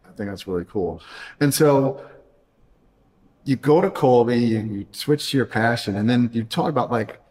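Man, podcast host, mid pitch, casual, filler-heavy, jokey, light reverb. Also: expressive, normal speed, a little mellow. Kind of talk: casual interview chat.

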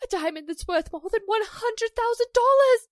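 A woman playing a famous, high-strung Broadway actress in a theatrical 1940s radio drama style. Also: animated, normal speed, dry recording, clean studio sound. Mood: panicked.

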